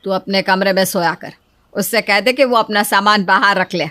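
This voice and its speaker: A woman's voice: mid-range voice, normal speed, bright, forceful tone, no echo, natural voice. A woman voicing a practical, protective middle-aged mother-in-law in a cartoon story, speaking in an emphatic motherly way. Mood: concerned, firm.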